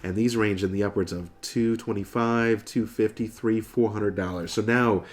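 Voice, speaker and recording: Low-voiced nasal American man with a cold. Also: steady tone, moderate pace. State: getting over a cold. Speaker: video show host. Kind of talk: casual explainer to camera. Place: furnished room indoors.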